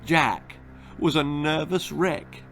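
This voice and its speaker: A man's voice: a little high, slow, a bit bright, faint music behind. A man reading a spooky, comic children's story in a theatrical, suspenseful manner. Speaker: author reading own story. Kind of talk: dramatic children's horror-comedy storytelling.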